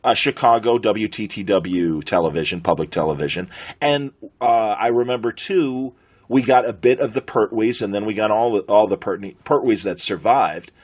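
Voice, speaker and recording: A middle-aged man with an American accent and a husky voice, chatting conversationally as a podcast host. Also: lowish voice, moderate pace, darkish tone. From United States.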